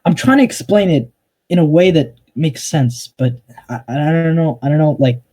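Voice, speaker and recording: A young man arguing his point in an online voice-chat debate, halting and restarting, often cutting in with "hang on". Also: fairly high pitch, animated, warm tone. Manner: hesitant, restarts a lot, interrupts with "hang on".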